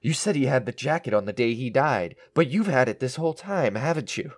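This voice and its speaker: A man's voice: mid-range voice, lively intonation, a little fast, lightly acted. An actor playing a greedy, paranoid man coming apart in an audio drama, agitated and theatrical. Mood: frantic accusing. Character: greedy, paranoid man unravelling into murderous madness. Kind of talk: audio drama acting with first-person narration.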